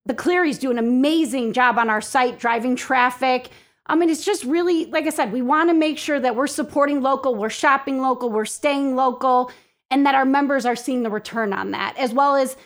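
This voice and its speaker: A woman's voice: high voice, a bit bright. A woman giving enthusiastic, informal answers as a guest in a casual interview, speaking as the president of a local organization.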